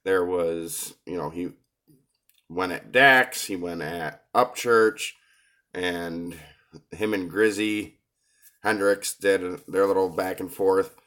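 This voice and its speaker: Man, very low voice, coming down with a cold, hesitant, lots of ums, casual commentary. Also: fairly bright tone. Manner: hesitant, many filler pauses. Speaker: reaction video host. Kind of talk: casual commentary on a rap song.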